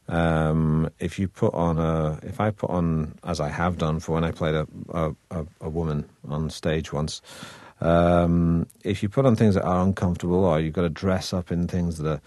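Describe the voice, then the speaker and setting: A man's voice: low voice, fairly even intonation, moderate pace, darkish tone, no echo. A man with a Manchester accent, an actor chatting as an interview guest in a wry, hesitant way full of restarts. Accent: Manchester (northern English). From Manchester, England. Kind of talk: relaxed interview conversation.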